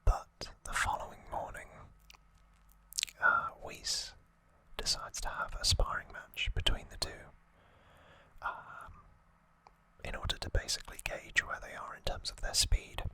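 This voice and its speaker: An ASMR host speaks entirely in a bright, crisp-edged whisper. The delivery is very unhurried and unscripted, with a hesitant, conversational way of retelling things. The voice sits close to the microphone. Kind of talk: whispered ASMR trivia recap.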